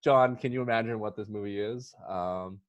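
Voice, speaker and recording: A man hosting a casual movie podcast, talking excitably and emphatically with a theatrical, joking streak. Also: on the low side, normal speed, dull audio. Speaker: podcast host.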